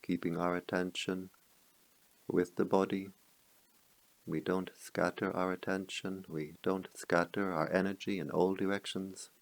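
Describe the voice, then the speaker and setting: Low, gravelly male meditation guide. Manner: calm, measured, with long pauses between short phrases. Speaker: meditation teacher.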